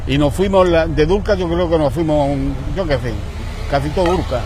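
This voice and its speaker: A man's voice: on the high side, normal speed, darkish tone, a little hiss or hum. Older Spanish man, indignant and rambling. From Spain. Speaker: returned emigrant. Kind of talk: casual street chat.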